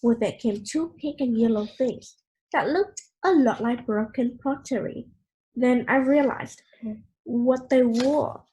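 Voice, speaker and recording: Female children's storyteller, high register, slow deliberate pace, animated scripted delivery. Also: poor audio. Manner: animated, deliberate, acting out dialogue. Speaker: children's book reader. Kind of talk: expressive scripted read-aloud storytelling.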